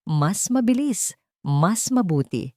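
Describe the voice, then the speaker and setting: A woman narrating a scripted dramatic story in a measured, solemn way. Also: mid-range voice, big pitch swings, darkish tone, no room sound, studio quality.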